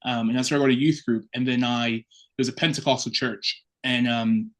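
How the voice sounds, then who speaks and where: Guy in his 30s, curate chatting openly about his life, relaxed and reflective. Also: mid-range voice, normal speed. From Chicago, United States. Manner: open, reflective storytelling. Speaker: assistant curate, guest. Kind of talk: casual interview talk.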